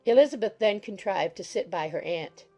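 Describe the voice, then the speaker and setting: Woman narrating an old novel, soft dark voice w some gravel, formal but expressive, light music under it. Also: mid-range voice, moderate pace. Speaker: audiobook narrator. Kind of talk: scripted literary narration.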